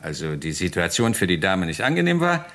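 A rather low-pitched police inspector in a radio crime play. He is courteous and formal, weighing his phrases deliberately as he probes with polite scepticism. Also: big pitch swings, a bit bright. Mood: suspicious. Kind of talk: German radio crime drama acting.

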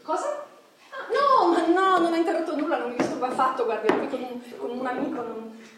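Actress as high, excitable flirtatious woman in echoey room. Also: a little fast, lightly acted. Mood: flustered, deferential. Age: 40s. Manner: theatrical, exclamatory, teasing. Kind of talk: radio drama acting. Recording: very echoey room, distant mic, faint noise.

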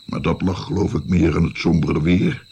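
An actor playing a wry old grandfather recounting his past in a radio drama, with a gravelly voice. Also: low voice, moderate pace, a little mellow, heavily acted. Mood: wry, reminiscing.

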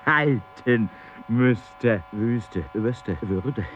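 An actor playing an agitated man in an experimental radio play, reciting in slow, broken phrases with wordplay. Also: mid-range voice. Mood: declaiming. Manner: drawn-out, fragmented, word-play delivery. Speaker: radio drama performer. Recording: dull broadcast tape with mains hum.

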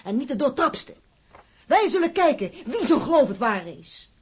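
An actress playing a feisty, proud working-class wife in a radio drama, fiercely loyal, blunt and sardonic. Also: female voice, high voice, very animated, normal speed. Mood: proud, defiant.

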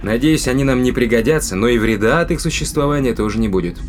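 An actor playing a cold, calculating spaceship crewman in an audio drama, speaking in a deliberate, commanding way with a cruel streak. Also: mid-range voice, lively intonation, faint music behind, natural voice.

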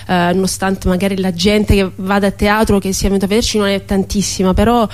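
A woman speaking as a theatre guest in a spontaneous radio interview, earnest and hesitant, with reflective pauses. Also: medium pitch, level tone, a little mellow.

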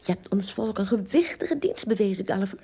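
A woman's voice: mid-range voice, expressive, fairly quick, dark tone, slightly rough. A woman playing a pompous, easily offended tiny king in a radio play, with a regal, indignant manner.

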